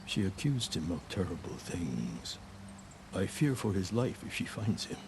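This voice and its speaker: An actor playing a gentle, cautious monk in a video game drama, with a soft, hushed voice. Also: male voice, deep voice, very animated, unhurried, creaky, exaggerated voice. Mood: gentle, worried. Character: gentle, cautious male monk, protective and doubtful. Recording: noisy outdoor ambience behind dialogue.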